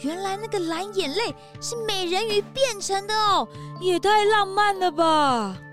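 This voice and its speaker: A woman voicing a curious, excitable young male sidekick in a kids' audio drama, eager and chatty. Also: very high-pitched, unhurried, soft background music, heavily acted.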